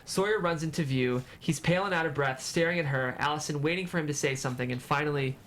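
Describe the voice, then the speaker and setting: A man's voice: on the high side, quick pace, fairly bright tone. A man reading stage directions as the narrator of a screenplay table read. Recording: faint room noise.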